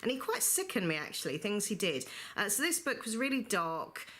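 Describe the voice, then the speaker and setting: A woman's voice: mid-range voice, sing-song, fairly quick, a bit bright. A woman chatting through a book review vlog, rambling with lots of fillers and getting over the flu with a slight cough. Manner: chatty, rambling, many fillers like "you know". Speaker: book vlogger. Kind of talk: casual book review monologue.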